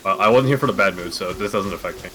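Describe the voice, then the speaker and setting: A man with a lowish voice in casual gaming chat. His talk is slangy and joking, as if among friends. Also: fast.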